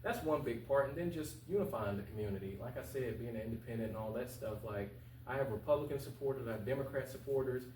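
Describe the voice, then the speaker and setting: A man's voice: mid-range voice, fast, darkish tone. A man in his twenties talking casually and earnestly as a candidate being interviewed, colloquial and emphatic, repeating points for stress. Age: 20s. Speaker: interview guest, candidate for local office. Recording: roomy, distant mic with faint hum.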